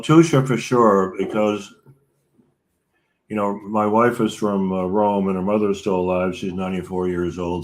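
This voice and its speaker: Older american guy around 80, lowish voice, just chatting away, kinda rambly. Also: low-quality recording. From United States. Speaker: regular guest. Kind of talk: casual chat.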